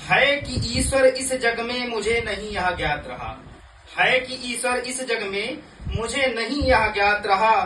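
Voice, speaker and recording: A man reciting motivational poetry dramatically, in a declamatory way with emphatic pauses. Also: extremely high pitch, expressive, pushed and bright. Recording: echoey, distant mic, faint background noise.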